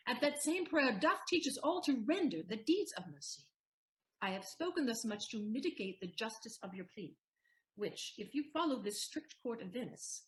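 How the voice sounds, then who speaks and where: An actress as an eloquent woman lawyer in a classical audio drama. Her fairly high voice moves widely in pitch, formal, composed and declamatory. The room echoes around her. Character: composed, eloquent young-middle-aged heroine posing as lawyer. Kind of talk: audio play Shakespeare acting. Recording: roomy, echoing recording.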